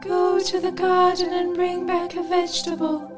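A woman playing the sun's young sister, a gentle grateful spirit with drawn-out singsong speech, in a folktale radio play. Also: extremely high pitch, flat delivery. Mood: grateful, dreamy. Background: music.

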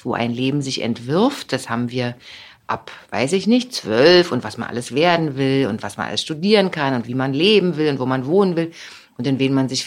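Low-voiced reflective German woman. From Germany, born in Leipzig, raised in Berlin. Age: 50s. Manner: thoughtful, philosophical, laughs often. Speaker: author, interviewee. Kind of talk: reflective interview answers.

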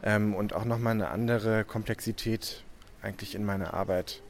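Young german guy artist, lots of umms, lowish voice, faint room noise. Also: fairly even intonation, normal speed. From Hamburg, Germany. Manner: hesitant, many filler sounds. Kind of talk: interview answers.

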